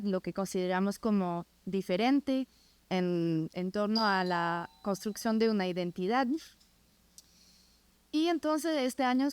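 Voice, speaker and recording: A woman's voice: mid-range voice, darkish tone, smooth, dry recording. A woman in her twenties from France with a French accent, a non-native speaker giving a prepared academic presentation as a student. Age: 20s. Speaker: master's student presenting research.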